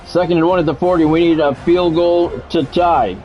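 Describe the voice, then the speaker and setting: A man streaming a football video game, giving excitable play-by-play and bluntly talking at the players in short, urgent, repeated phrases. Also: a little high, normal speed, lo-fi sound, faint music behind. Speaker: gaming streamer doing play-by-play.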